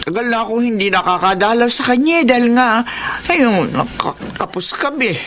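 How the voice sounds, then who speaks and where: A forceful, bright older mother and grandmother, heartfelt and given to dramatic exclaiming in a radio drama. Faint noise sits behind her. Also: a little high, animated, normal speed. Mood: concerned, relieved. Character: emotional grandmother, stern but loving mother.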